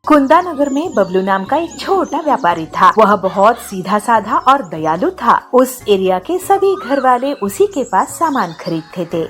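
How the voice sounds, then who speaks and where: Animated woman narrating a children's story. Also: fairly high pitch, measured pace, few pauses, soft background music.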